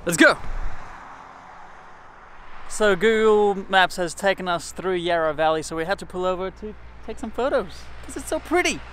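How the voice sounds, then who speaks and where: A man's voice: high voice, lively intonation, normal speed, long pauses, fairly bright tone. A man in his thirties filming a chatty, upbeat road trip vlog on a handheld camera in a car and outdoors. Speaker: vlogger. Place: inside a car and outdoors in fields. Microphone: handheld action camera. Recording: noisy car and road background.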